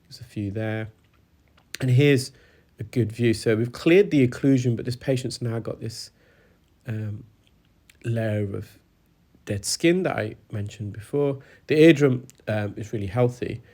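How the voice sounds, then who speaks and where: A man's voice: medium pitch, measured pace, darkish tone. A British man working as an audiologist, narrating a clinical demonstration with a stuffy, congested voice from a cold and frequent hesitant fillers. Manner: explanatory, hesitant with many fillers.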